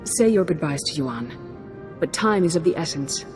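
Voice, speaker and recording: A woman's voice: deep voice, expressive, normal speed, music in the background. A woman playing a solemn, considerate Jedi council member in a video game, formal, composed and earnest. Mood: solemn, urgent.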